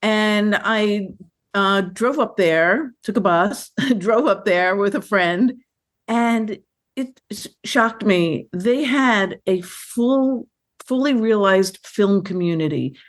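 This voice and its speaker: A woman with a mid-pitched voice is being interviewed about her work. She tells her stories in a lively, chatty way, and she often restarts sentences and fills pauses with "you know.". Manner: animated, chatty storytelling with fillers and restarts. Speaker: filmmaker guest. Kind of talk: conversational interview.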